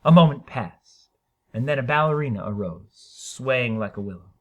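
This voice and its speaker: A man's voice: medium pitch, lively intonation. A man narrating a short story as a dramatic reading, with theatrical, emphatic delivery. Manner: theatrical, emphatic, deliberate phrasing.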